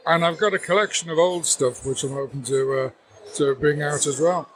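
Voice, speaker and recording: A man's voice: on the high side, normal speed, rough recording. A man, a comic artist guest, chatting casually and teasing with dry jokes. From Liverpool, England. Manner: jokey, deadpan teasing. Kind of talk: casual convention interview. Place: busy convention floor.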